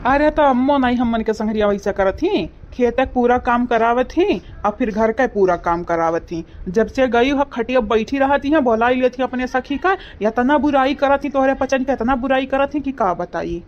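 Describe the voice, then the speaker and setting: A woman's voice: fairly high pitch, moderate pace, hardly any pauses, highs cut off, close to an everyday voice. A woman voicing a weary adult village husband in a cartoon, speaking with an Awadhi accent in a plaintive, grumbling way. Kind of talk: cartoon comedy voice acting.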